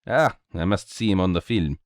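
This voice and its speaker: A dapper little detective played by a man reading aloud in a storybook mystery. He speaks, courteous and theatrical, his pitch swinging freely. He sounds cheerful and very sure of himself, in a dry, close sound. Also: animated, moderate pace, dry recording. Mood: knowing, animated. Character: small Belgian male detective, cheerful, courteous, self-assured.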